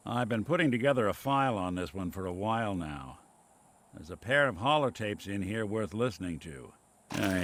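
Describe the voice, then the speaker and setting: Low american guy actor doing a tired robot detective, noir style, wry and hardboiled, video game. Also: slight background noise, natural voice. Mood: focused, businesslike. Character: world-weary synthetic android detective, hardboiled and kind-hearted. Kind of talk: film-noir detective game dialogue acting.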